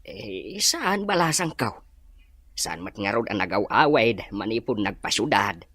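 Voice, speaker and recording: Casting: man to play an old, troubled Ilocano village dad, raspy and animated, questioning in manner. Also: lowish voice, measured pace, no room sound, exaggerated voice. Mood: puzzled. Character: worried old village father. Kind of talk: Filipino Ilocano audio drama acting.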